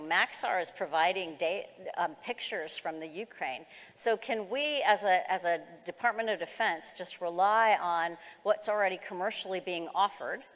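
A woman's voice: fairly low pitch, a bit bright. A middle-aged American woman giving an explanatory, semi-formal keynote presentation at a conference. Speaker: keynote speaker, government executive. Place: large conference hall, on stage. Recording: reverberant hall sound.

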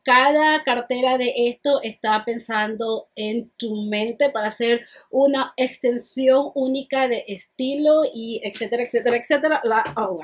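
A woman's voice: fairly high pitch, a little slow. A woman in her thirties doing a casual unboxing review, chatty and often repeating words as she shows the bag, filmed close to the camera in a small room. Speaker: beauty and fashion vlogger. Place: small indoor room, plain backdrop.

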